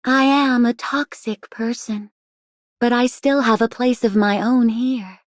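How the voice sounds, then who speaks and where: A woman's voice: a little high, steady tone, a little slow, no echo, clean studio sound, lightly acted. An actress voicing a reflective, portentous female operator in scripted game voice lines. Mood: wistful, hopeful.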